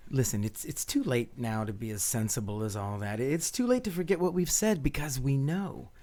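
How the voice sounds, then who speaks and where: A man's voice: mid-range voice, animated, normal speed, mellow, natural voice. An actor playing an ardent, insistent man in forbidden love in a radio play, speaking earnestly and tenderly. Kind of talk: radio play romantic acting.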